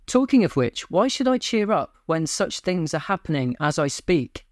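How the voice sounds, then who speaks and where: A man hosting his own channel, speaking in a flat, deadpan monotone in a scripted personal monologue. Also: on the high side, moderate pace, no echo, studio quality. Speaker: YouTube channel host. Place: home.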